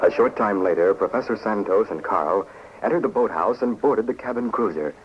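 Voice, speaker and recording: A man's voice: on the low side, a little fast, natural voice. A man narrating a 1940s radio drama as its announcer, in scripted style. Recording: dull, hissy 1940s radio recording.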